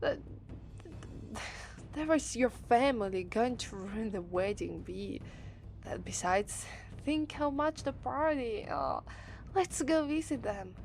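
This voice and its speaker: A woman's voice: fairly high pitch, animated, slow pace, vocal fry, darkish tone, slight background noise, full character voice. A woman with an Italian accent voicing an affectionate, cheerful young demon princess bride in an ASMR roleplay. She giggles often and gets flustered easily. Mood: teasing. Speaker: voice actress.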